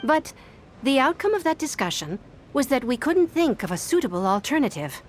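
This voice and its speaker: High, animated young secretary. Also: female voice, moderate pace, a little hiss or hum. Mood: earnest. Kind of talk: scripted video game dialogue. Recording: background music behind voice.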